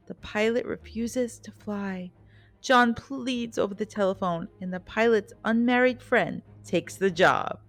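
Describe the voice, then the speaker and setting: A woman reading scripted documentary narration as the host of the programme. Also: mid-range voice. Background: soft background music.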